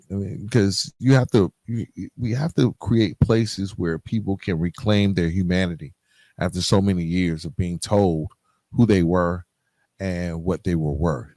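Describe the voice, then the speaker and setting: A man hosting a podcast solo, talking informally and conversationally, thinking aloud in a halting way. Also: low-pitched, warm tone, no room sound. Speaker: podcast host.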